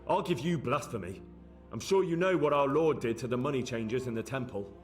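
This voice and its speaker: An actor playing a bold, blunt young investigator in a video game, direct and challenging. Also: male voice, mid-range voice, lively intonation, a little fast, faint music behind, lightly acted. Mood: menacing.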